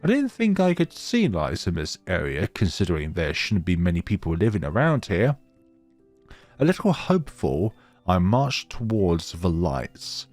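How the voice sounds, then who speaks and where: A man's voice: sits low, wildly swooping pitch, moderate pace, a little mellow, soft background music. A man hosting a gaming let's play, reading story text aloud dramatically and dropping in casual asides. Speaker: gaming channel host.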